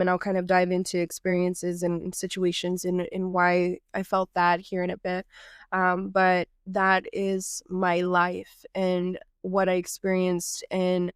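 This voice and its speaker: A woman's voice: on the low side, smooth, no room sound, clean studio sound. Nasal-sounding woman hosting a podcast. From Texas. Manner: earnest, reflective, loosely improvised with fillers. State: nasal from a cold. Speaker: podcast host. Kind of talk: reflective podcast monologue.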